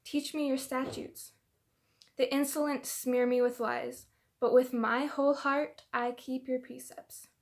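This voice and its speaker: A woman's voice: high-pitched, little pitch movement, a little slow. A woman reading verses of scripture aloud. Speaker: reader.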